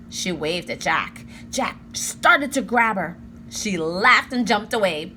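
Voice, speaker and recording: Woman, storybook narrator, highish pitch, lively intonation, careful dramatic phrasing, read-aloud, light background noise. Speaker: storybook reader. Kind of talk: expressive children's book narration.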